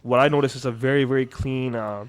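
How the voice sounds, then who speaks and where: A man hosting a podcast, talking in a casual, animated way with frequent restarts. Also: mid-range voice, clean tone. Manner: animated, quick storytelling with many restarts. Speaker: podcast host. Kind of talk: casual interview chat.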